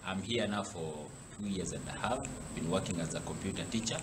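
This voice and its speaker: A man in his late twenties speaks steadily and gratefully about his teaching work. His voice is very deep. Clear background noise runs underneath. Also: a little fast. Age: 20s. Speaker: computer teacher. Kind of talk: interview statement. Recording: noisy background.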